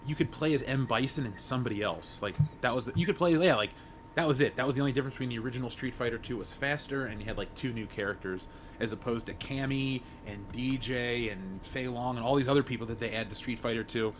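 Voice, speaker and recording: A man's voice: medium pitch, quick pace, slight background noise. A man speaking casually as a panelist in an informal discussion. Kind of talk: casual chat. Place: convention panel room.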